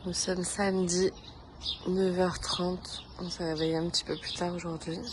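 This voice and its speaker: A cheerful woman in her thirties narrates her family's holiday vlog, talking to viewers and to her kids as she films on a handheld phone. Her voice sits at an ordinary middle pitch. Clear outdoor ambience and passing vehicles sit behind her. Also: background noise.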